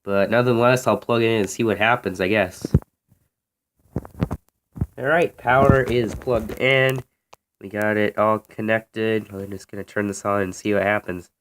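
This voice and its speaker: A man's voice: on the low side, fairly even intonation, moderate pace. An American man giving a casual, unscripted, offhand demonstration as a hobbyist, recorded on a handheld phone. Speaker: radio hobbyist demonstrating a vintage radio. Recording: noisy phone video with clicks.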